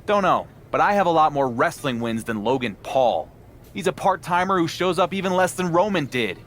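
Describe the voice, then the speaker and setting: A man's voice: fairly high pitch, lively intonation, moderate pace, a bit bright, slight background noise. A man playing a wary, frustrated champion wrestler in a game cutscene, speaking in a plain, skeptical way. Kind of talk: acted video game cutscene dialogue.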